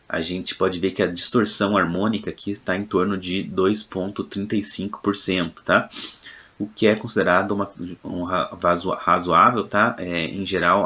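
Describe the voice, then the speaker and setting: A man with a low-pitched voice and a Brazilian accent narrates an informal technical tutorial. He explains like a lecturer, somewhat hesitantly, often ending phrases with small check-in tags. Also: pushed and bright. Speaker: electronics lecturer.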